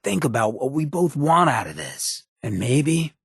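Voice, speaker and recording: An actor playing a swaggering, sardonic but loyal male ghoul rebel in a video game, with a very raspy, gravelly American voice and a streetwise, folksy drawl. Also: on the high side, very animated, unhurried, no echo, studio quality, exaggerated voice. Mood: hurt, serious. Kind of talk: video game companion voice acting.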